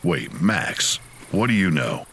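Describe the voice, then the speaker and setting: A man plays a friendly demon hunter in an audio drama. His voice is deep, and he talks in a casual, conversational way as a concerned buddy. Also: very animated, slow pace, gravelly, a bit bright, a little hiss or hum, heavily acted. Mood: alarmed.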